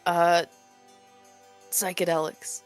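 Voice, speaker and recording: A woman with a slightly low voice plays a tabletop role-playing game. She is laconic and dry, dropping short, understated improvised lines over background music. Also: little pitch movement, takes it slowly. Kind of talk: improvised casual role-play.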